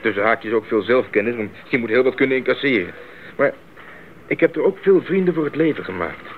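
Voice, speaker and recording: Guy acting eager young missionary in old radio play, earnest, lively pitch, thin narrow sound w bit of noise. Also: brisk, lightly acted. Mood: cheerful. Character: eager young male missionary and former boxer, idealistic. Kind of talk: 1980s radio drama acting. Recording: narrow-band 1980s radio recording, faint noise.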